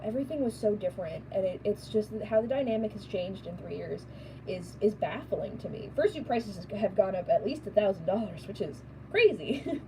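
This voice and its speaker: A woman with a raspy voice who makes fursuits, chatting casually and rambling as she sews and answers viewer questions. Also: medium pitch, background noise. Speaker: fursuit maker. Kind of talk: casual chat while sewing.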